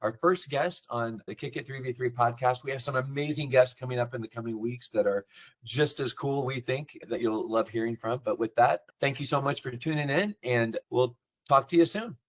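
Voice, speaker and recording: A man's voice: mid-range voice, moderate pace. A man hosting a podcast, talking casually and eagerly, open about being boastful. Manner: enthusiastic, self-deprecating promoter. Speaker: podcast host.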